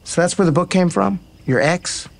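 An actor playing an easygoing, kind, joking baseball player in a naturalistic romantic comedy audio drama. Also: male voice, high voice, animated, moderate pace. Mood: warm, candid. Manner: casual, joking, frank.

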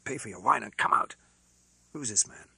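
A furtive man who speaks low and abrupt, always vexed and wary, as a male narrator plays him. His tone is bright and slightly husky. The recording is dry and close. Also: lively intonation, moderate pace, dry recording, full character voice.

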